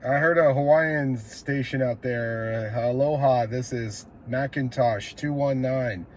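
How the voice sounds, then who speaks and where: A man's voice: medium pitch, unhurried. An American man working as a CB radio operator, making station calls and chatting casually in a laid-back, slangy way. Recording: clear background radio noise.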